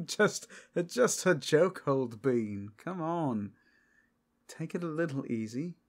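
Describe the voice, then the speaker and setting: Man playing a suave, teasing vampire, lively pitch swings. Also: heavily acted. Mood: playful, charming. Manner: playful old-fashioned gentlemanly phrasing, drawn-out delivery. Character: suave flirtatious ageless male vampire, teasing and genteel. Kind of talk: audio roleplay acting.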